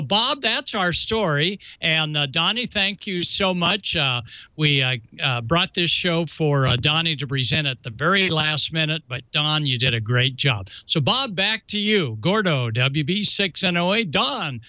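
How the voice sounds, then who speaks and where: Man, co-host, very sharp bright voice, dull dry recording, casual radio hosting. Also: on the high side, no echo. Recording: dull muffled audio.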